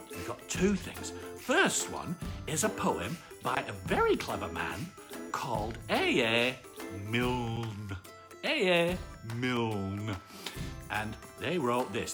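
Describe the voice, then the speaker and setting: A woman voicing a cheerful, excitable male armadillo who hosts children's bedtime stories, effusive and playful, with a British accent. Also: rumbling low, exaggerated voice. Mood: eager, explaining. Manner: effusive, repeats words, playful. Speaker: bedtime story host. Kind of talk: live children's storytime chat.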